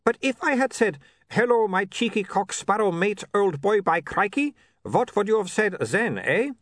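Narrator voicing a prim, eager vampire in an audiobook; raised, bright, very dry read. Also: male voice, sits high, sing-song, moderate pace, no room sound, voice pushed up. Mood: indignant. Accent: Uberwald. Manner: formal stiff phrasing, earnest. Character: eager prim male vampire photographer, young.

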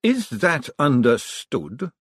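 A man voicing a dryly witty, commanding ruler in an audiobook, measured and coolly in control. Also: medium pitch, huge pitch swings, breathy edge, no room sound, clean studio sound, voice pushed up, heavily acted. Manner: measured, deliberate, authoritative.

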